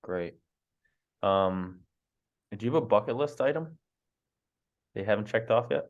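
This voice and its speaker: Low-voiced laid-back male host, muffled. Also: animated, a little slow. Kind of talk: casual group conversation.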